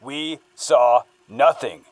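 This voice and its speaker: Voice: medium pitch, drawn-out words, no room sound. An actor playing a stern, official police interviewer taking a witness statement in an audio drama. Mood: scornful, accusing. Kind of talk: dramatic audio fiction acting.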